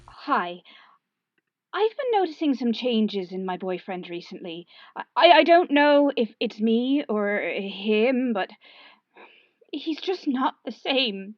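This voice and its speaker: A woman's voice: fairly high pitch, very animated, no room sound. A woman playing a nervous, hesitant young female caller worried about her boyfriend in a comic radio play. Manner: hesitant, halting. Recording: dull lo-fi caller line.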